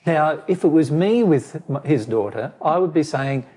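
A man whose voice keeps giving out, so it comes out husky and rough. His tone is dark and mellow, and he lays out his reading of a question in a measured way, often restarting a phrase. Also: fairly high pitch, expressive. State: losing his voice, hoarse. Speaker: spiritual teacher answering a question. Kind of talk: explaining to a listener.

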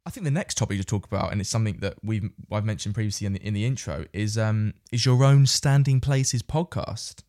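Earnest, low-voiced male podcast host. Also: brisk, hardly any pauses, mellow. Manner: earnest, asks long rambling questions. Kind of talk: interview questions.

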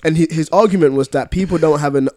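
A man chatting casually on a podcast, explaining his point in a deliberate way. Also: fairly high pitch, darkish tone, no room sound. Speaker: podcast panellist. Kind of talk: casual discussion among friends.

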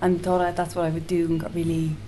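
A woman with a low, soft-spoken voice chats casually as a guest singer-songwriter. She circles back on herself with restarts and little fillers. A clear hiss runs under the recording. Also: flat delivery, quick pace. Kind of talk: casual interview chat. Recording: noticeable background hiss.

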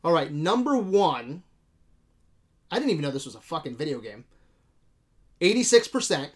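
A man's voice: on the high side, sing-song, normal speed. A man hosting a casual movie discussion podcast, talking informally with slang and free swearing. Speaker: podcast host.

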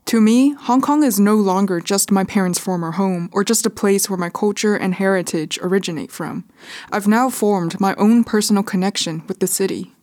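A woman's voice: mid-range voice, moderate pace, darkish tone. A young adult woman from California with an American accent, reading scripted narration as a podcast host. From California, United States.

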